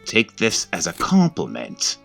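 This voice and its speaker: A man's voice: deep voice, huge pitch swings, a little slow, gravelly, a bit bright, soft background music, voice pushed up, heavily acted. A man voicing a sadistic, hungry cannibal killer who taunts and savors in a horror story. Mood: taunting. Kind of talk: horror story character acting.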